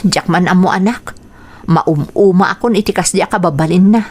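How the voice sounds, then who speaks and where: A woman's voice: on the low side, normal speed, darkish tone, lightly acted. An actress with an Ilocano accent playing a weary, long-suffering mother in a radio drama, plaintive and confiding. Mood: weary, resigned.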